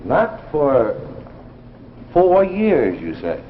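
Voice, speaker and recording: A man's voice: on the high side, wildly swooping pitch, extremely slow, full character voice. An actor playing a folksy, skeptical man hearing out a strange tale in a 1950s radio drama. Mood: skeptical, curious. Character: skeptical, folksy man listening over a drink. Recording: muffled vintage radio recording, faint noise.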